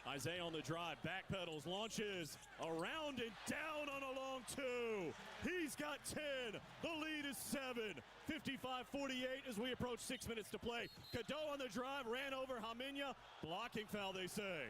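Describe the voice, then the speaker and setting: A man calling a live college basketball game on radio, his voice climbing with each big play. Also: very high voice. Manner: rapid, rising excitement on big plays. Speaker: play-by-play announcer. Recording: broadcast with loud arena noise.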